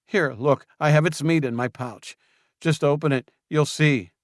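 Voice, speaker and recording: A man's voice: fairly high pitch, moderate pace, no room sound, clean studio sound, voice pushed up, close to an everyday voice. A man voicing a cornered young male traitor who argues defensively and pleads, growing agitated under questioning. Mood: defensive, pleading.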